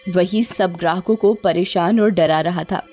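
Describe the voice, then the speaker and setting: A woman's voice: lowish voice, measured pace, mellow, soft background music. A woman narrating a cartoon horror story from a script.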